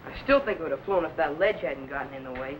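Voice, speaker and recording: High-voiced, eager boy assistant, played by a male. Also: fast, muffled recording, slight background noise, natural voice. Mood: curious. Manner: earnest, excitable. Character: eager, reckless boy assistant to a time-traveller. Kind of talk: 1950s children's sci-fi serial acting.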